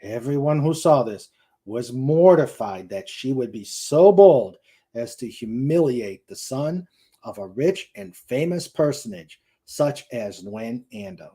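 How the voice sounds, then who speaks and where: A man's voice: mid-range voice, expressive, measured pace, warm tone. A man giving a dramatic narration of a novel, with emphatic delivery and the odd stumble. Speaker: host and narrator.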